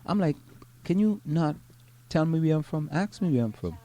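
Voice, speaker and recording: A middle-aged man from Trinidad and Tobago with a Trinidadian accent, co-hosting a casual talk show, reading a news article aloud and then chatting, often halting and repeating words. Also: on the high side, lively intonation, mellow. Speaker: podcast co-host.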